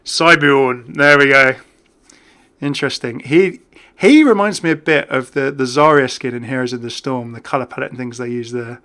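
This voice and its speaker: Bright, gravelly British man commentating casually. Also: mid-range voice, moderate pace. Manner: chatty, easily amused. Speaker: gaming video host. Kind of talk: casual off-the-cuff game commentary.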